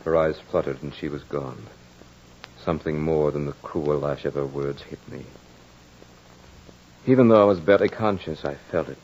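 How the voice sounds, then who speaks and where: Deep-voiced, haunted husband. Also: male voice, moderate pace, pauses often, a little mellow, husky, exaggerated voice. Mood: grim, shaken. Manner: melodramatic, theatrical. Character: tormented, devoted husband haunted by a vengeful phantom. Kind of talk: old-time radio horror acting, first-person narration. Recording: dull vintage radio recording with music.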